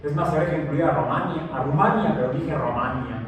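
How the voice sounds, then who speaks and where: A man's voice: a little high, quick pace. A man giving a digressive science lecture in a classroom, full of fillers and restarts. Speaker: science teacher. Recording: echoey room, distant mic, noisy.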